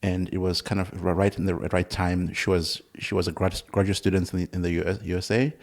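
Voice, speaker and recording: A man in his thirties, originally from Zimbabwe, speaks as a guest with a slightly low voice. He talks in halting restarts and laughs easily, recorded indoors in a conductor's room. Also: flat delivery. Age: 30s. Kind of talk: casual interview conversation.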